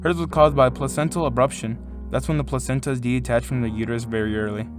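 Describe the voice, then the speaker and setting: Music sits softly behind a man acting as a fond uncle figure. He is playful and unbothered, a good-natured friend in an audio drama. His voice is mid-pitched. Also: steady tone, quick pace, natural voice. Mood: matter-of-fact.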